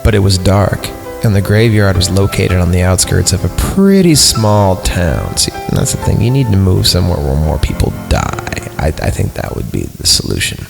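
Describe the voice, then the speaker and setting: A man hosting a comedy podcast, reading a horror story aloud with sarcastic asides and mock-serious pauses. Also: low-pitched, big pitch swings, creaky. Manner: sarcastic asides, breaks into laughter, mock-reads punctuation. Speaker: podcast host. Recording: music playing under the voice.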